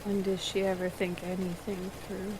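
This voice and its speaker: A woman's voice: mid-range voice, level tone, moderate pace, background noise, close to an everyday voice. A woman playing a stiff, reserved male mage in a tabletop game, speaking in a dry, matter-of-fact manner. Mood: dry, resigned.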